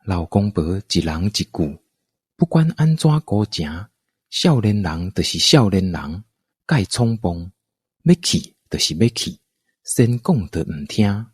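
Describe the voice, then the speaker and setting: A man's voice: low voice, very animated, unhurried, husky, no echo. A man with a Taiwanese accent narrating a scripted folktale for an audio drama.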